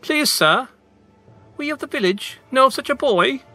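A man's voice: very high voice, expressive, moderate pace, pushed and bright, faint music behind, voice pushed up, heavily acted. A man voicing a small, timid village boy in a storybook, pleading and deferential.

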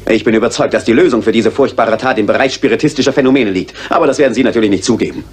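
An actor playing a curt, haughty gentleman of the manor with an impatient, clipped manner, in a German radio crime drama. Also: fairly low pitch, racing, a little hiss or hum, close to an everyday voice. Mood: cold, bitter.

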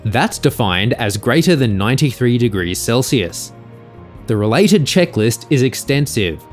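Lively-pitched male explanatory narrator. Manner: measured, formal, factual. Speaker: video narrator. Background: faint music.

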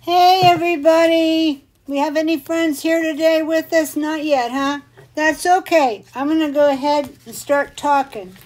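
Older lady hosting a craft livestream, kinda hoarse n high voice, folksy rambly chat. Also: steady tone, unhurried, darkish tone. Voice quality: slightly hoarse, muffled. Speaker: live stream host. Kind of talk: chatty craft live stream.

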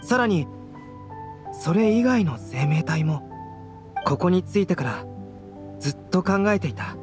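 An actor playing a gentle, curious young scholar in a video game, measured and thoughtful. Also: male voice, sits high, animated. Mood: pensive. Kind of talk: Japanese video game voice acting. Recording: studio voice over guitar game music.

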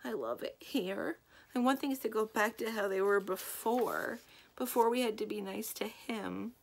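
Sad lil girl woman doing the voice crying thru her words, high and drawn out, storybook read. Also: vocal fry. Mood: wailing, sad. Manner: sobbing, halting, drawn-out delivery. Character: tearful, wailing young girl, hurt and sad.

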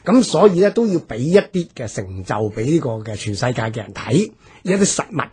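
A man with a Hong Kong Cantonese accent and a strongly husky, raspy voice. His pitch is medium. He explains his points as a commentator in a radio discussion. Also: sing-song, fairly quick, darkish tone.

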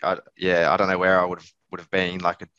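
A man in his thirties, a business owner giving casual, halting interview answers, thinking aloud. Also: low-pitched, monotone, pushed and bright, clean tone, no echo. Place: small office on a video call. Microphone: headset. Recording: slightly dull video-call audio.

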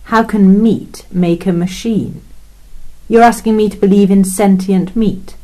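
A woman's voice: medium pitch, fairly even intonation, a little slow, mellow, lightly acted. A woman playing a skeptical alien official. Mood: incredulous. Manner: incredulous questioning, wry and skeptical. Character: incredulous alien female official, skeptical and wry. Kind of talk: two-voice reading of a science fiction story.

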